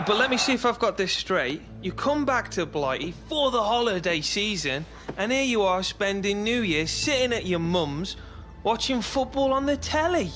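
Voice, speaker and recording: A man's voice: high-pitched, noisy background. A man with an English accent playing a chatty, cocky young footballer in cinematic game dialogue. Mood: cocky, teasing. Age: young adult. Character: cocky, chatty young male footballer, jokey best mate. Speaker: actor in a football game's story mode.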